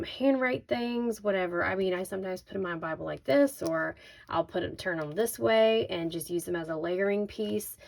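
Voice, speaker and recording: A woman with a slightly raspy voice hosting a casual craft unboxing, chatting informally and thinking aloud as she shows each item. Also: mid-range voice, a little mellow. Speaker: craft video host. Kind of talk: casual unboxing product walkthrough. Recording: noisy, with crinkling handling sounds.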